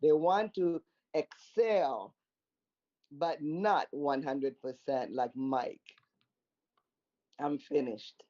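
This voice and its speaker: A man with a high, mellow voice makes his points earnestly and says them twice for weight. The sound is muffled and lo-fi, like audio from an online call. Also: very slow, long pauses. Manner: earnest, repeats points for emphasis. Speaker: discussion panelist. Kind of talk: casual panel discussion.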